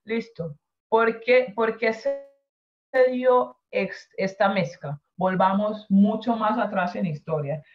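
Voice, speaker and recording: A woman whose first language is San Andrés Creole, teaching an online class and slowly explaining and translating each word. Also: mid-range voice. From San Andrés Island, Colombia. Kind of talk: online class over a video call. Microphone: video call. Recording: dull lo-fi video call audio.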